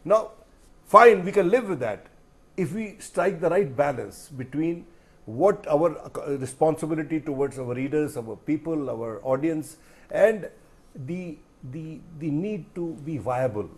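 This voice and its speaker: A middle-aged man with an Indian accent and a somewhat high-pitched voice gives his opinion on a panel. He speaks deliberately and with emphasis, repeating phrases and dropping in "you know" as he builds his point. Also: animated. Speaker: journalist, panel guest.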